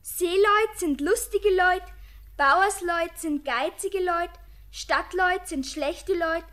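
A woman voicing a small girl who recites a rhyme slowly in a singsong. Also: very high-pitched, fairly bright tone, heavily acted. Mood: playful. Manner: singsong, slow.

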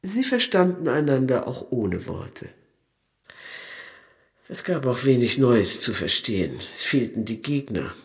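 Husky male literary narrator. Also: medium pitch, big pitch swings, measured pace. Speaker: narrator reading literary prose.

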